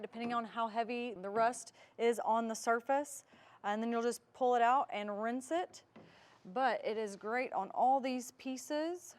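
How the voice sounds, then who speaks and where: A woman with a fairly high voice gives a hands-on product demonstration. She explains each step in plain, instructional language.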